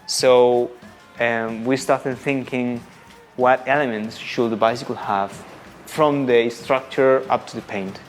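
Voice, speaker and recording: Colombian guy, mid pitched, native spanish speaker explaining design stuff, searches for words, music in the back. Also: fairly even intonation. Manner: halting, searching for words in non-native English. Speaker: bicycle designer. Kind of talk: casual explanatory interview.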